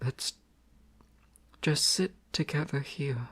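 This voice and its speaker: A man voicing a bashful young crown prince in an intimate fantasy audio drama. He speaks at an unhurried, lingering pace, tender and lovestruck. His delivery stays soft and close, often slipping into a hushed whisper. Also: medium pitch, slightly rough, heavily acted. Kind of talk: romantic audio roleplay.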